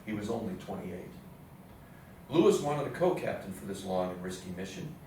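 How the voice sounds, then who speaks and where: Male stage narrator for a historical readers theatre piece, lowish pitch, recorded from a distance in a very echoey room. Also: animated, moderate pace, darkish tone. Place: live readers theatre performance in an echoey indoor space. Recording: very echoey room, distant mic, faint noise.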